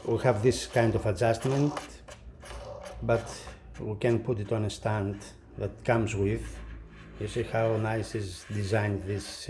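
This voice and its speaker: Slow, halting man presenting an unboxing. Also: mid-range voice, darkish tone. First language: not English. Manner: halting, pausing in short phrases. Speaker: audio product reviewer and unboxing presenter. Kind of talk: casual unboxing demonstration talk.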